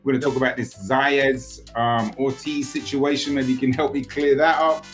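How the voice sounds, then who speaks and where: Host, man, mid-pitch, upbeat casual interviewer, lots of quick agreement. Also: flat delivery, faint music behind. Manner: enthusiastic, frequent affirmations. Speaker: host of boxing interview channel.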